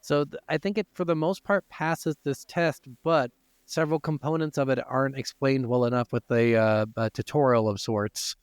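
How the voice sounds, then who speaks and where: Smooth-voiced man, slightly high, casual and sweary. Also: fairly even intonation, moderate pace, no room sound, studio quality. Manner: informal, freely profane. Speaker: podcast co-host. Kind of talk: casual video game discussion.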